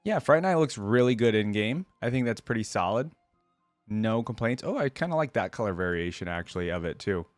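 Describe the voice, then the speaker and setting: A man's voice: fairly low pitch, lively intonation, a little fast, no room sound, soft background music. Casual man rambling through a reaction, dry sound. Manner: rambling, hedging his opinions; informal, conversational, addressing viewers directly. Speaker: reaction video host.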